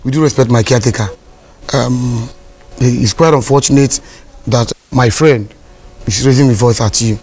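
A man's voice: fairly high pitch, animated, brisk, darkish tone. A man playing a polite peacemaker. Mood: apologetic. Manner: apologetic, formal peacemaking. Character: placating adult male resident, polite. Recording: music bed behind.

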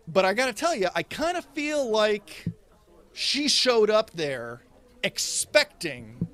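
A man in his thirties doing a deadpan stand-up comedy routine at a stand mic, gesturing as he talks. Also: high-pitched, takes it slowly. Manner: deadpan delivery with animated, sweeping hand gestures. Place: indoors, small set in front of a red curtain. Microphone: stand mic in front of him.

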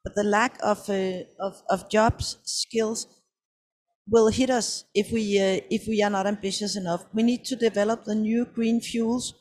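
A woman speaks as a panelist on an indoor conference stage. She talks firmly at a middle pitch, stacking her points in a row. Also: steady tone, unhurried, darkish tone. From Denmark. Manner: firm, listing points.

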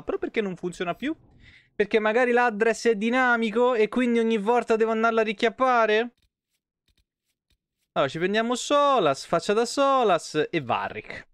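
A man's voice: high-pitched, no echo. A man in his thirties chatting casually on a live game stream, rambling and swearing freely, close to a desk microphone. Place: small room at home. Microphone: close desk microphone on an arm.

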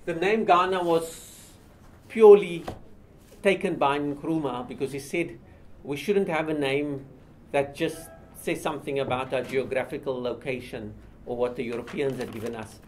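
Older male lecturer, South African accent. Halting, explanatory delivery, recorded at a distance from the mic. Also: medium pitch, darkish tone. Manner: halting, repeating words as he explains. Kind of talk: informal history lecture to a small class. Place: small indoor seminar room. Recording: distant mic with light background noise.

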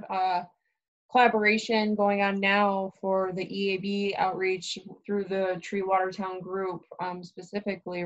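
A woman running a webinar, polite and organized as she passes along questions from the chat. Her pitch stays level. The audio is dull and lo-fi, as on a video call. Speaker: webinar moderator. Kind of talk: moderating a webinar Q&A.

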